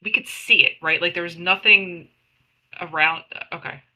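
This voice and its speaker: Very bright, sharp-voiced woman. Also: on the low side, steady tone, normal speed, rough recording. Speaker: player in a tabletop roleplaying game. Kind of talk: casual, questioning table talk.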